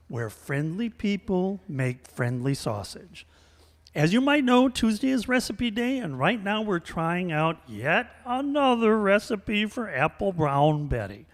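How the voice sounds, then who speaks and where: Folksy male morning-show host, acted on stage, hammy and very animated, live radio comedy in a reverberant hall. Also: mid-range voice. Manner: hammy, exclamatory folksy patter. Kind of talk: comic live radio theater acting.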